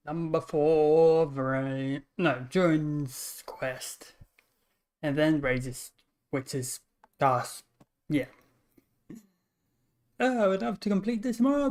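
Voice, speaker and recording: Slow, halting woman game streamer. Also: on the low side, darkish tone. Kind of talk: casual gameplay commentary.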